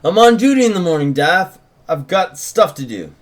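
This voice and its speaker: A man's voice: sits high, sing-song, normal speed. A man voicing a dutiful, easygoing small-town deputy with a Southern American accent and a folksy, responsible manner.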